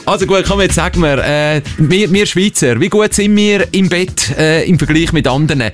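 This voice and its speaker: A man with a Swiss German accent hosting a radio quiz, bantering playfully in a cheeky, halting, improvised way. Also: a little high, faint music behind.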